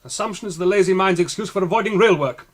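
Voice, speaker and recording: Pompous, high-voiced English gentleman. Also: quick pace. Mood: dismissive. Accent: British. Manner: pompous, patronising, dryly superior. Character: pompous, avuncular English gentleman playing investigator. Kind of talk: British radio drama acting.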